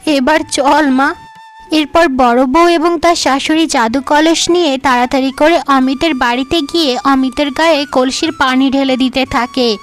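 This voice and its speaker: A woman with a high-pitched voice narrates a cartoon fairy tale. The delivery is scripted storytelling, set over clear background music. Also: moderate pace, few pauses.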